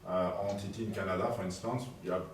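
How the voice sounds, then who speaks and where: A man with a French accent speaks on an aviation panel, halting often with fillers. His voice sits low. Heavy hall echo surrounds him, and he was picked up from a distant mic. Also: steady tone, slight background noise. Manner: halting, many fillers and restarts. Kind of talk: panel discussion. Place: large conference hall. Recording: echoey hall, distant mic, faint ambience.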